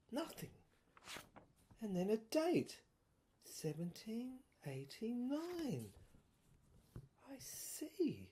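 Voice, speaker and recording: Woman doing a haunted officer monologue, soft mellow voice, stammery n halting, pitch wanders a lot, goes whispery. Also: slight background noise, exaggerated voice. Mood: bewildered, awed. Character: haunted, bewildered officer recounting visions in halting fragments. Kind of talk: dramatic first-person monologue.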